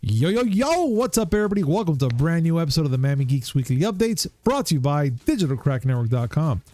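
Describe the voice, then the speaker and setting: A man's voice: on the high side, big pitch swings, fast, mellow, faint music behind. A man in his thirties hosting a casual gaming news show, chatting in a hyped, laid-back way. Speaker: podcast host.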